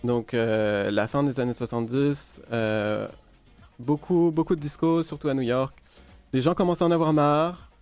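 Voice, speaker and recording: A man's voice: medium pitch, steady tone, normal speed, a little mellow, smooth, faint music behind. A man with a French accent, a DJ guest on a podcast, explaining in a hesitant way with many fillers. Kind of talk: casual interview, explaining music history.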